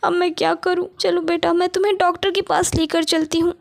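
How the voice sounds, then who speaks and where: A woman's voice: high voice, steady tone, moderate pace. A woman voicing a harsh, scolding mother in a spoken storybook. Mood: panicked. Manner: sharp, scolding, later pleading. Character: mean middle-aged mother, harsh and scolding.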